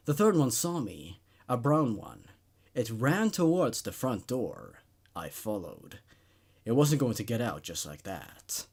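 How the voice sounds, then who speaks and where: Guy doing horror story narration and commentary, really animated pitch, medium voice. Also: unhurried, breathy edge. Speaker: host and narrator of a horror story reading show. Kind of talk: dramatic story narration and laid-back commentary.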